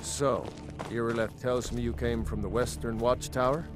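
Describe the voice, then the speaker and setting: A man playing an authoritative jarl of a fantasy city, measured and decisive, for a video game. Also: mid-range voice, fairly even intonation, close to an everyday voice. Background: game music.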